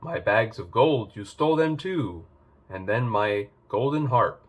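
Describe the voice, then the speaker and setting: A man's voice: fairly low pitch, animated, measured pace. A man voicing a big, grumpy, aggrieved and threatening male ogre in a storybook tale. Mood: angry.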